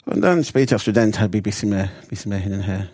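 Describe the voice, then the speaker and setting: A man's voice: on the low side, fast talker, darkish tone, no echo. A man of 59 from England with an English accent, telling his story conversationally in detail, sometimes searching for words. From Bradford, Yorkshire, England. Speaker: interview guest, rabbi.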